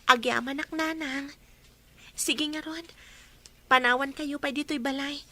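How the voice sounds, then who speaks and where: A woman's voice: sits high, fairly even intonation, measured pace, bright, forceful tone, exaggerated voice. A young woman with an Ilocano accent playing a polite, earnest student daughter in a radio drama. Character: dutiful student daughter Claire.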